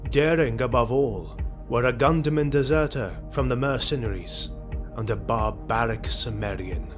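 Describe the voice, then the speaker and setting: Music plays clearly under a male narrator with a mid-pitched voice. He delivers a dramatic fantasy story with solemn, deliberate phrasing and a literary, storyteller's cadence. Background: background music.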